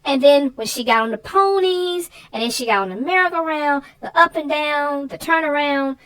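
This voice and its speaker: A woman casually discussing a children's story, animated and walking through points one by one. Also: sits high, normal speed. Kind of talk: casual chat about a children's book.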